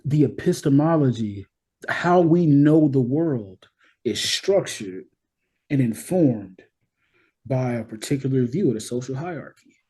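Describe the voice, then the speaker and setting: A man with a dark, mellow voice and a husky edge speaks as a guest explaining theory in conversation. He is emphatic and keeps checking in with short tag questions as he builds each point. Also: mid-range voice, expressive, a little slow. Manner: emphatic, checks in with "right?" and "you know what I mean?". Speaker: interview guest, scholar.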